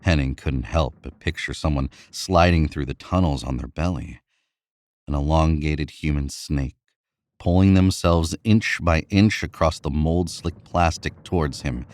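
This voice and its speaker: Very deep-voiced man narrating horror. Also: darkish tone, no room sound, studio quality. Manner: measured, suspenseful delivery. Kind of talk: scripted horror story narration.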